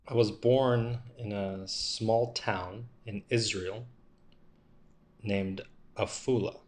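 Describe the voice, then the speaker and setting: A man with a lowish voice speaks for a signing guest in an interview. His delivery is measured and unhurried, and he takes the answers in short steady phrases. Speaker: interpreter voicing a signing artist.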